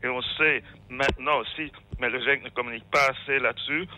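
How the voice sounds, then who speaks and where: A man's voice: mid-range voice, steady tone, fairly quick, narrow, tinny audio. A man from Belgium calling in to a radio show, rambling and hesitant. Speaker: caller. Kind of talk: phone-in call.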